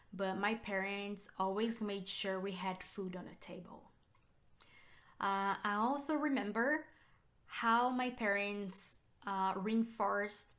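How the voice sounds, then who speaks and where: A woman from Brazil in her thirties with a Brazilian Portuguese accent, her first language being Portuguese, hosting a personal vlog and telling her story in an unhurried, reflective way. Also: medium pitch, a bit bright. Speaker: realtor and channel host. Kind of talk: personal vlog talk to camera.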